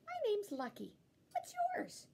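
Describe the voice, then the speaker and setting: A woman's voice: very high-pitched, wildly swooping pitch, a little slow, vocal fry, a little mellow, pitched above the performer's own voice, full character voice. A woman voicing a friendly, eager female dog in a children's storybook, sweet, earnest and chatty. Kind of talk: children's storybook character reading.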